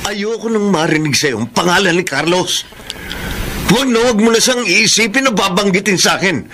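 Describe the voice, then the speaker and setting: High-pitched, jealous Filipino lover. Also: male voice, animated, moderate pace, pushed and bright, slight background noise, heavily acted. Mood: jealous, warning. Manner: pressing, demanding. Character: jealous, possessive male lover, insistent and stern. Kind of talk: Filipino radio drama acting.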